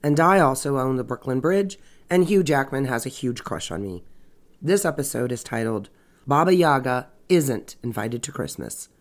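A woman's voice: very deep voice, expressive, normal speed. A woman hosting a true crime podcast with a stuffy nose and a scratchy voice, narrating casually with wry, sarcastic asides. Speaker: podcast host.

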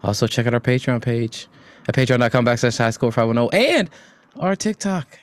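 A man's voice: mid-range voice, lively intonation, a little fast, dry recording, clean studio sound. Laughing man hosting a crude podcast. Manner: laughs often, crude joking. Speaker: podcast host. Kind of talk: casual podcast banter.

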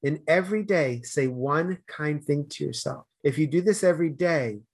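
Emphatic Boston man, fairly high voice. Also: normal speed, creaky, breathy edge. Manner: earnest, emphatic, gets loud when animated. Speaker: guest. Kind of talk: casual interview chat.

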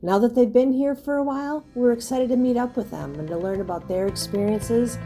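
A woman's voice: fairly high pitch, fairly quick, over music. Woman hosting in a roomy space. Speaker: host and school superintendent. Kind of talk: podcast interview hosting. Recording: roomy, distant mic, faint noise.

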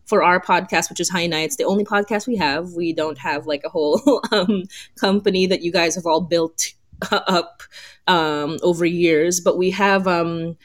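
A woman's voice: fairly low pitch, steady tone, measured pace, dry recording. A woman co-hosting a podcast in casual chat, talking in a rambling, giggly way peppered with filler words. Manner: rambling, frequent "like" and laughter. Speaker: podcast creator and co-host.

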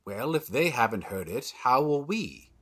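An actor playing a polite, exasperated theatre producer in an audio drama, with a dry, sardonic, mannered delivery. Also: male voice, medium pitch, sing-song, moderate pace, a bit bright, breathy edge. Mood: wry.

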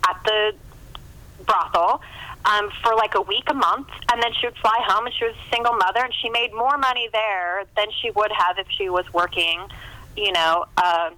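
A woman's voice: mid-range voice, fairly even intonation, moderate pace, pushed and bright, poor audio. A woman author talking casually about her work as an interview guest, chatty and rambling, often quoting imagined dialogue.

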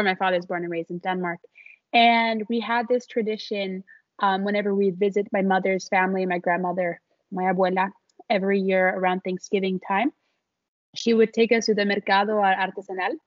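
A woman's voice: mid-range voice, no echo, lo-fi sound. A young adult woman, a small business founder, talking casually as an interview guest, chatty and laughing often with many fillers.

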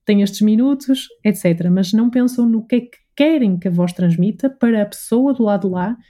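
A woman's voice: medium pitch, a little fast, dark tone. A woman with a European Portuguese accent giving conversational explanations as an interview guest, articulate and often listing her points. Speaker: voice and communication coach, interview guest.

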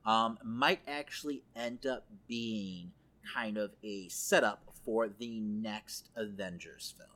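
A man's voice: fairly low pitch, slow, fairly bright tone. A man co-hosting a podcast, chatting casually and thinking aloud as he goes. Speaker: podcast co-host. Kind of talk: casual chat about comic book films.

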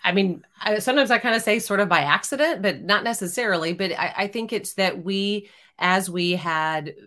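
A woman in her fifties from Texas, a former news anchor speaking as a guest, halting at times and repeating words. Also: mid-range voice, bright, forceful tone. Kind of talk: casual interview conversation.

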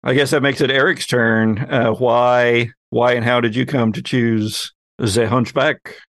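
Gravelly-voiced man moderating a casual chat. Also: medium pitch, fairly even intonation, measured pace, no room sound, studio quality. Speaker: podcast host leading discussion.

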